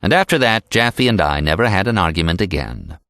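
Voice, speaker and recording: A man reading an audiobook in an expressive, animated storytelling style. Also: sits low, a little fast, no room sound, studio quality. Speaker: audiobook narrator. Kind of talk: expressive scripted audiobook narration.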